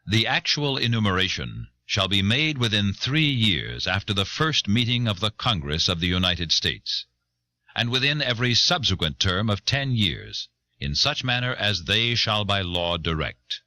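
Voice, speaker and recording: A man giving a solemn, deliberate formal reading of a legal text, recorded on an old audio cassette. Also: fairly low pitch, very animated, pushed and bright, no room sound. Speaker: reader.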